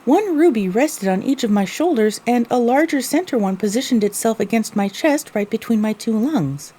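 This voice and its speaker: A woman's voice: fairly high pitch, mellow, husky. A woman playing a young pony mare who narrates her own story wistfully, as scripted audio drama narration.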